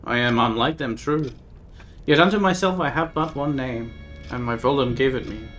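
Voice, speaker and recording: A man's voice: mid-range voice, moderate pace, a bit bright, faint music behind, lightly acted. A man reading as a grave, uncanny northern woman. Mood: defiant. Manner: old-fashioned thee-and-thou speech, grave and ominous. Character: cryptic archaic-speaking woman of the north, fierce, uncanny. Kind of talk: reading a text game aloud on a live stream.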